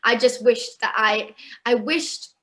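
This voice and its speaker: Chatty woman with a fairly high voice. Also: takes it slowly, fairly bright tone. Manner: chatty, with frequent restarts and fillers. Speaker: guest singer and actress. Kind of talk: casual interview chat.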